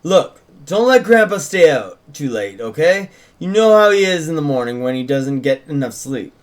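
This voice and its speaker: A folksy Southern deputy from a small town, as a man acts him out at a script read. He is easygoing and dutiful, with a fairly high male voice. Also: big pitch swings, normal speed. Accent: American South.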